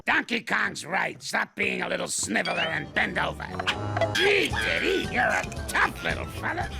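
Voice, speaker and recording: A crotchety elderly cartoon ape with a heavily gravelly, high-pitched voice that swings wildly as he scolds and blusters. The delivery is exclamatory and theatrical, over loud music. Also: wildly swooping pitch, normal speed, heavily acted. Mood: instructive, gruff. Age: older. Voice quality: gravelly, creaky. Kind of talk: animated cartoon voice acting.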